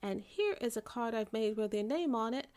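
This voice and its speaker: A woman's voice: on the high side, expressive, normal speed, a little mellow, lightly acted. Woman as an affectionate, earnest little girl. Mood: fond scolding. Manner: exclaiming, emotional. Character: affectionate, earnest little girl who loves her bear.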